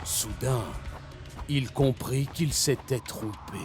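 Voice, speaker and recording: An actor playing a male storyteller in a cartoon, telling a fable in a gentle storybook manner. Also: medium pitch, takes it slowly, natural voice. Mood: storytelling. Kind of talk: French cartoon dubbing. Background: cartoon music.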